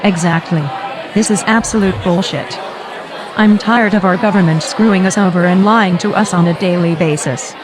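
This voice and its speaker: A woman's voice: fairly low pitch, normal speed, close to an everyday voice. A woman playing a furious, defiant citizen, brash and profane as she rallies people. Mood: disgusted. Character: furious defiant woman rallying a crowd against government. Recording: crowd noise in large space.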